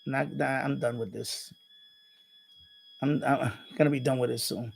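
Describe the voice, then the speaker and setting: A man's voice: mid-range voice, steady tone, unhurried, gravelly, husky, low-quality recording. An older man hosting a live stream with casual, rambling chat, often hesitating and repeating himself.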